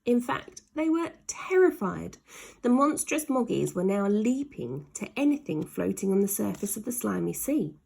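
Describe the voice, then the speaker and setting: Husky woman reading stories very expressively. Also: mid-range voice, very animated, moderate pace, a little mellow. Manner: dramatic, emphasises sound words. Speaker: storybook reader. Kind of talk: expressive children's story narration.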